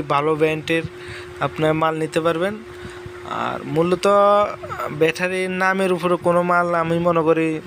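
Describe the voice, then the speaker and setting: A young man from Bangladesh selling batteries in a casual promotional talk, pausing often with fillers and restarts. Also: fairly high pitch, fairly bright tone, slight background noise. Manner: halting, with many fillers and restarts. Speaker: battery seller. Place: large indoor battery factory floor.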